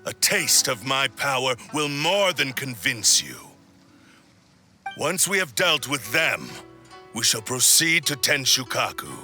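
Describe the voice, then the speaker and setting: A man's voice: medium pitch, sing-song, slow, sharp tone, faint music behind, exaggerated voice. A man voicing a proud, bitter spirit of a cursed sword in a video game, speaking in a theatrical, haughty, menacing drawl. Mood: cold, menacing. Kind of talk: dramatic video game dialogue.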